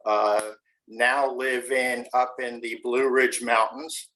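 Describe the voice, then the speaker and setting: A man's voice: medium pitch, flat delivery, slow pace, pushed and bright, low-quality recording. A man from the USA hosting a casual interview on his channel, friendly and upbeat, with lots of fillers. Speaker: YouTube channel host. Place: home in the mountains.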